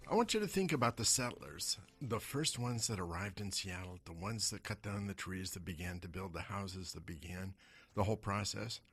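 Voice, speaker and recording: Hoarse, husky man on radio. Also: moderate pace. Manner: rhetorical questions, animated pitch swings. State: hoarse from smoke. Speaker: radio show host and investment advisor. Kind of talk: talk radio monologue.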